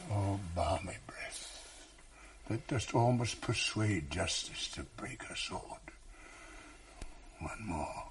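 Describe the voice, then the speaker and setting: A brooding, jealous general: low, husky, slow, measured verse, whispers that break into rage. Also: male voice. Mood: brooding, tender. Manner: brooding, swings from hushed whispers to outbursts. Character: tormented jealous general, grave and commanding. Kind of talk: classic stage verse radio drama.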